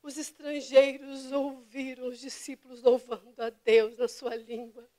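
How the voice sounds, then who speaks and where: A woman pastor delivering a fervent sermon to her church, dropping to hushed whispers and rising to impassioned cries. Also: high voice, little pitch movement, a little slow. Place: church service.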